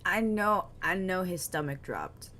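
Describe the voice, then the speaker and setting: Woman reacting to videos, chatty and incredulous, lively swooping pitch. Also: measured pace. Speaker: co-watcher reacting. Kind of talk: casual reaction chat.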